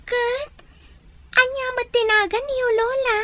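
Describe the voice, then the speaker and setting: A woman's voice: extremely high pitch, steady tone, takes it slowly, fairly bright tone, exaggerated voice. A woman voicing a caring, curious little girl who asks questions in a childlike way, in an audio drama. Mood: patient, puzzled. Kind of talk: amateur audio drama acting.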